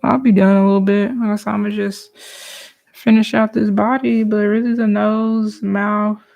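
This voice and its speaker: A woman's voice: mid-range voice, moderate pace, vocal fry. A woman chatting casually to viewers during a live drawing stream, easygoing and sometimes dropping to a whisper. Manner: relaxed, chatty, talks to viewers while working, drops to whispers.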